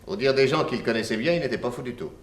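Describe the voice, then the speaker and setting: An actor playing a shrewd, courteous police inspector in a 1960s French radio drama, questioning politely and patiently. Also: male voice, medium pitch, expressive, fast talker, close to an everyday voice. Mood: courteous, probing.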